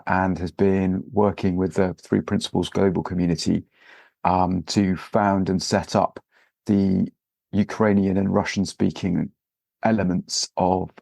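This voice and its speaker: A man hosting a podcast interview, talking gently with many pauses and fillers. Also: low voice, creaky, no echo. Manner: hesitant, many fillers and restarts. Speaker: podcast host. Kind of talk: relaxed interview with scripted intro.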